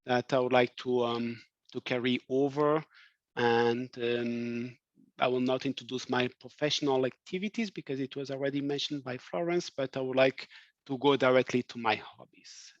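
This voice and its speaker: A man with a Portuguese accent, raised in France, giving a webinar talk, halting with many fillers and restarts. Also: medium pitch, no echo. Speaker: guest speaker. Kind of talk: webinar presentation. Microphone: video call. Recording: dry, dull video call audio.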